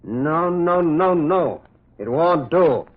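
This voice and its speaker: Gentle priest detective, husky, animated, shrewd, probing, repetitive, radio drama. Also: male voice, on the high side, takes it slowly. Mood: fretful, puzzling. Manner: musing, insistent, repeats himself. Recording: lo-fi, narrow-band old radio sound.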